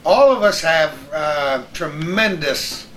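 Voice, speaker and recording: A man's voice: a little high, lively intonation, slow, crowd noise. An older man hosting a casual conversation, prompting his guests for reminiscences and adding his own. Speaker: host and newspaper columnist.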